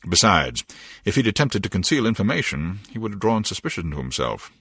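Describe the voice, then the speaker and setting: A low-voiced, evasive male spy chief from a video game. He explains things in a measured, matter-of-fact way, with lively intonation and a very dry, close sound. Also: slightly rough, no echo, exaggerated voice. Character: calm, evasive male spy agency superior, apologetic. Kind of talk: scripted video game voice acting.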